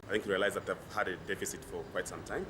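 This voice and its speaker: Fast-talking Zambian man over outdoor noise. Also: deep voice, fairly even intonation. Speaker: policy researcher interviewed. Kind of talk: spoken news interview comment. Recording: clear outdoor urban background noise.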